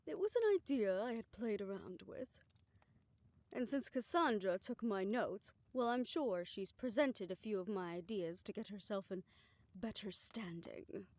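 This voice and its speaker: A woman performing a scripted audio roleplay, voicing several characters in a soft, hushed way that often drops to a whisper. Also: mid-range voice, expressive, measured pace, no room sound.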